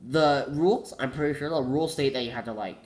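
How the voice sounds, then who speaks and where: A man's voice: medium pitch, quick pace, darkish tone. A teenage boy with a cold, congested and coughing, rambling casually and correcting himself, saying "like" a lot. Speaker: vlogger.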